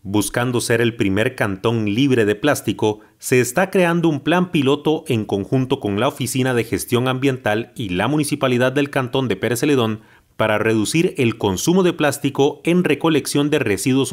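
A male reporter reads a scripted news voice-over. His delivery is measured and clear, at a medium pitch.

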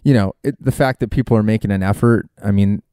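A man's voice: fairly low pitch, fast, dark tone, smooth, no room sound, studio quality. A man hosting a podcast, talking in a laid-back, friendly way and laughing often. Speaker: podcast host. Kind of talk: casual interview chat.

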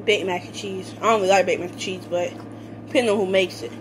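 A woman's voice: mid-range voice, fairly even intonation, fast. A young woman casually tasting food and commenting between bites, filmed close on a phone at a table indoors. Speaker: vlogger. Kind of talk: casual eating vlog chat. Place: small room indoors at a table. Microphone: phone camera close up. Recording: noisy home phone recording.

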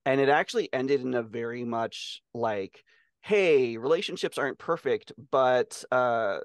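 Man, middle-aged, medium pitch, casual podcast chat, sweary, dry recording. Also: unhurried, clear, resonant tone, dry recording. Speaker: podcast co-host. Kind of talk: casual chat about books. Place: small room on a video call.

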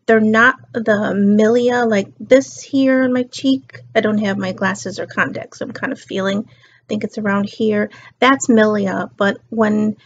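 Tired, slightly raspy woman on webcam. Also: medium pitch, steady tone, measured pace. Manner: rambling and chatty, drifts between topics. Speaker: beauty and lifestyle vlogger. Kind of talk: casual chat to camera. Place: living room couch, indoors. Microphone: built-in webcam mic. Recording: dull lo-fi webcam audio.

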